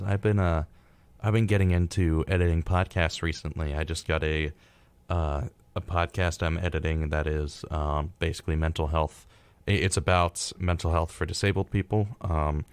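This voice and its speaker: Very deep-voiced young American man. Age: young adult. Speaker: college radio co-host. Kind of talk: casual live radio chat. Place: college radio studio.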